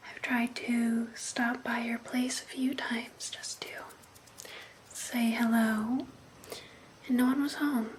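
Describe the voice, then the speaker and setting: A woman playing an obsessed, jealous vampire ex-girlfriend in an ASMR roleplay, speaking in an intimate, breathy, coaxing whisper into a close microphone. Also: on the high side, flat delivery, slight background noise. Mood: wistful longing. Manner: slow, intimate, passive-aggressive, coaxing.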